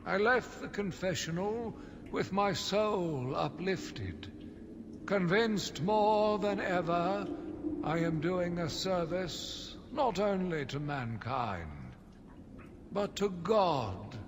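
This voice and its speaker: A sinister zealot's slow, theatrical monologue rolls through long reverb over a noisy ambient bed. this self-righteous game villain, swinging widely in pitch as he broods. Every phrase is weighed with fanatical disgust and conviction. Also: expressive, heavily acted. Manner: theatrical, deliberate, brooding delivery with dramatic emphasis. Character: sinister, zealous, self-righteous madman obsessed with punishing monsters. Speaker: voice actor playing a villain narrator. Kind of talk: dramatic scripted monologue, audio journal from a video game.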